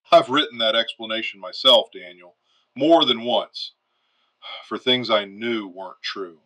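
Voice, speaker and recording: Man playing blunt retired fighter pilot, bright forceful voice. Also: mid-range voice, rough recording. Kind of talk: radio drama, call-in show caller.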